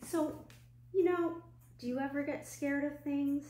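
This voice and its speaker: Female children's storyteller, higher voice, unhurried, gentle and expressive; live online storytime with roomy echo. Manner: gentle, expressive, asks listeners simple questions. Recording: roomy echo, clear background noise and hum.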